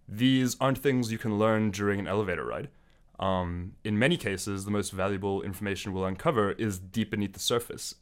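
A young man from South Africa hosting a podcast, delivering an earnest intro monologue with occasional slips and self-corrections. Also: lowish voice. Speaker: podcast host.

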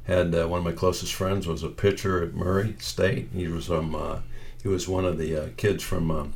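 An American man of about seventy, casually reminiscing in a halting, meandering way as he searches for details. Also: deep voice, little pitch movement, gravelly, a little mellow, a little hiss or hum. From northeastern Ohio, United States. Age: around 70.

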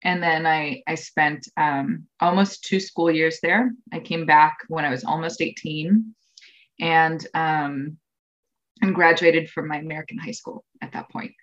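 Low-voiced woman in her 30s, lots of ums and restarts, kinda muffled lo-fi sound. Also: measured pace, fairly bright tone. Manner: rambling, with many ums and restarts. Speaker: interview guest. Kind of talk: casual interview conversation. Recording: dull lo-fi audio.

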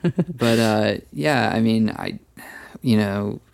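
A man in his thirties, a podcast guest, talking casually and haltingly with many fillers. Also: lowish voice, gravelly, darkish tone, dry recording. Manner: trained voice. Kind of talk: casual chat.

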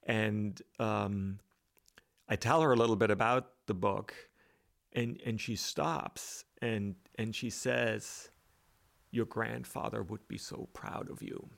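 Hesitant gravelly-voiced man with roots. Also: lowish voice, steady tone, slow. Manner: hesitant, with many restarts and fillers. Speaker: author and guest. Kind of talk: reflective interview talk.

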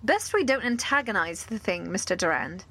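A woman's voice: mid-range voice, expressive, moderate pace, fairly bright tone, breathy edge. A woman playing a sensible, frank fantasy adventurer in an audio drama. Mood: cautious, puzzled.

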